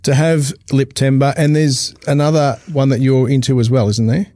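A man's voice: medium pitch, level tone, normal speed, mellow, no echo. A middle-aged Australian man co-hosting a casual interview in an informal, colloquial way. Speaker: co-host interviewer.

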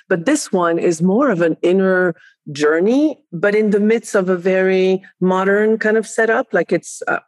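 A middle-aged woman with a French accent, chatting lively as a podcast guest and laughing often. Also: lowish voice, no echo. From France, raised in Spain. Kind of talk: casual interview chat.

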